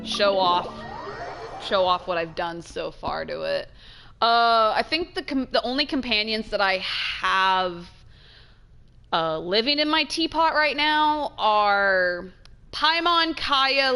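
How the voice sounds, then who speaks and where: A woman giving casual, chatty gameplay commentary as a streamer, expressive and trailing off into asides. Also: medium pitch, slow pace, clear, resonant tone. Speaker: game streamer.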